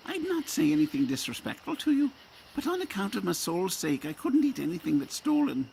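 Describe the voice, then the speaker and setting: A man's voice: high voice, huge pitch swings, a little fast, creaky, darkish tone, slight background noise, heavily acted, low-quality recording. A man playing a pious, easily tempted parish priest, earnest and self-reproaching, in an audio drama. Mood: torn, earnest.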